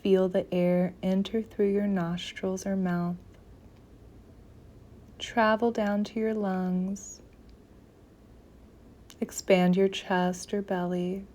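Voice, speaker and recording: A woman leading a guided meditation in a soft, gentle, soothing voice, with phrases often trailing into near-whispers. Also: medium pitch, little pitch movement, takes it slowly, long pauses.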